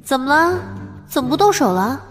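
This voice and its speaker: A woman's voice: high-pitched, big pitch swings, normal speed, soft background music. A woman playing a young female character in acted audiobook dialogue. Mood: mocking. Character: young female servant or teasing female spirit.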